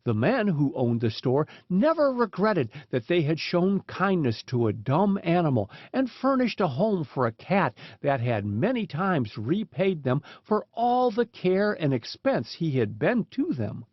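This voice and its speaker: High-pitched man reading a storybook, lively intonation. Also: a little slow, dry recording. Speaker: audiobook reader. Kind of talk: scripted narration of children's animal stories. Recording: dull, dry lo-fi recording.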